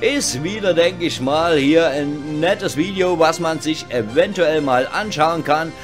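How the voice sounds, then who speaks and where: A man's voice: on the high side, unhurried. A man giving casual, tongue-in-cheek gaming news in a chatty, wry, informal way. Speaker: host of a gaming news show. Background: background music.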